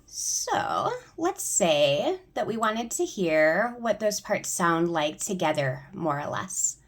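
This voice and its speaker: A woman with a slightly low voice talks through a tutorial in an informal way. She explains each step in turn, as a choir director showing others how she works. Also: sing-song, slow, soft background music.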